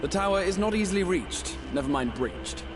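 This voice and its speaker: Lively high-pitched, composed guardian man. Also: a little fast. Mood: assured. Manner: formal, composed, articulate. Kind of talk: scripted video game voice acting. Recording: music behind the voice.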